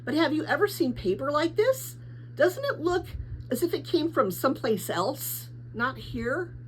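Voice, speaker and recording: A woman's voice: high-pitched, sing-song, measured pace, slight background noise, pitched above the performer's own voice, poor audio. A woman voicing a curious, polite young girl in a storybook, eager and questioning, a little hesitant. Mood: earnest, insistent.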